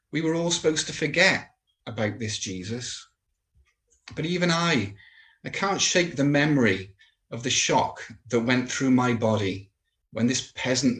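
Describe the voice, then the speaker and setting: A man's voice: mid-range voice, expressive, measured pace, long pauses, a bit bright. A man playing a contemptuous, haunted Roman official in a dramatic monologue, speaking with emphatic, rhetorical scorn. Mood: troubled. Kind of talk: dramatic monologue in a church service.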